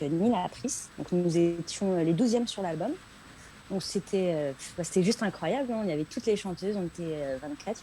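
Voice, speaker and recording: A woman's voice: on the low side, dark tone, slight background noise, low-quality recording. A woman from France, a singer chatting casually as an interview guest and repeating words for emphasis. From France, Paris region.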